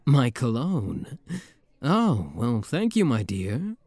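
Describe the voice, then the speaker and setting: A man's voice: mid-range voice, wildly swooping pitch, slow, darkish tone, no room sound, exaggerated voice. A man playing a playful, flirty demon prince with a teasing, theatrical manner in an intimate ASMR roleplay.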